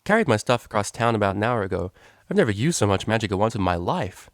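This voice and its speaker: A cheeky unicorn DJ mare from a storybook, played by a man, easygoing and slangy. The voice sits close and dry, with a relaxed, casual manner. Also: lowish voice, fast, no echo. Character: laid-back, cheeky female unicorn DJ.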